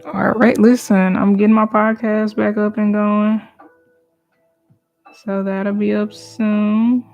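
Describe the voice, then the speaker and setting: Relaxed woman with a gravelly low voice. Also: takes it slowly, dull audio, soft background music. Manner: relaxed, chatty, talks to viewers while working, drops to whispers. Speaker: artist streaming a live drawing session. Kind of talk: casual live-stream chat while drawing.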